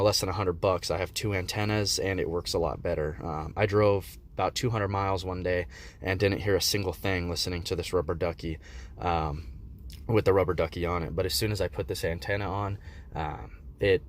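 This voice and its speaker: Low-voiced man giving a casual review. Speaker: amateur product reviewer. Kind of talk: casual unscripted product review. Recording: faint background noise.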